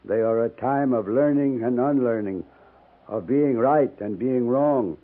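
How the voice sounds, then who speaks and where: A man's voice: medium pitch, natural voice. Tinny old-radio dying old chief, played by a man. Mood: grave, reflective. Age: older. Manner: solemn, measured, formal poetic phrasing. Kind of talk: 1950s radio drama acting. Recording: narrow tinny 1950s radio recording.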